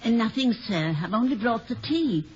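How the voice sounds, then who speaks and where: Actress as high-voiced eager maid, old radio. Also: female voice, expressive, moderate pace, darkish tone, close to an everyday voice. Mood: timid, eager. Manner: hesitant, respectful, ungrammatical. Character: eager, naive, deferential young maid. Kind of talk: 1950s radio comedy-drama acting. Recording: dull, hissy 1950 radio recording.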